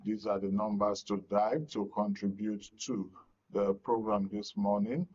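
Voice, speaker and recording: A Nigerian man hosting a live broadcast discussion, with a halting, deliberate delivery. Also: lowish voice, flat delivery, darkish tone, slightly rough. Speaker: host of a live discussion programme. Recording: dull, lo-fi broadcast sound.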